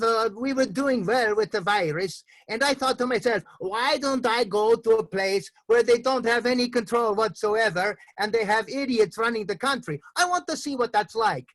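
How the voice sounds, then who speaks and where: A man's voice: moderate pace, hardly any pauses. A man doing a put-on as a boastful tourist, improvising over a video call. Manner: booming, know-it-all, deadpan tall tales. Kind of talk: improv comedy.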